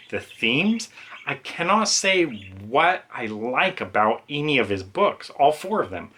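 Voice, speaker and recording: A man's voice: medium pitch, sharp tone. A man giving an informal, rambling, self-aware book review as a reviewer talking casually on video.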